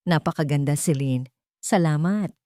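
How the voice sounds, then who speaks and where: A woman's voice: sits low, moderate pace, mellow, no room sound, studio quality, natural voice. A woman storyteller voicing a humble elderly mother who speaks meekly and tenderly. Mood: touched.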